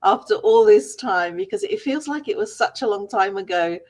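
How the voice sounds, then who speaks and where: A woman's voice: mid-range voice, lively intonation, moderate pace, darkish tone. A woman hosting a live-stream interview over a video call, effusive and welcoming with her guests. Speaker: interview host.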